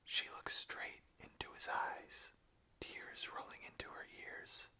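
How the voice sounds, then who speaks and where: An ASMR host speaks entirely in a breathy whisper. The delivery is slow and hushed, with a crisp, bright edge to the airy sound. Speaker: ASMR host reading song lyrics aloud. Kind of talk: whispered ASMR, reading and casual chat.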